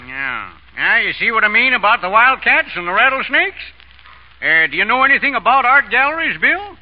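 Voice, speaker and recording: An actor playing a hearty, folksy American Western husband in a radio comedy, informal and boisterous. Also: male voice, very high voice, sing-song, moderate pace. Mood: grumbling, casual. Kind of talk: 1930s-40s radio comedy acting. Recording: dull vintage radio broadcast.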